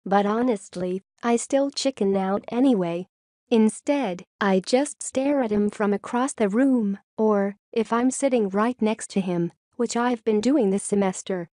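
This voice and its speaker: A woman reading forum posts aloud in a steady, even reading voice. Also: medium pitch, measured pace, no room sound, clean studio sound.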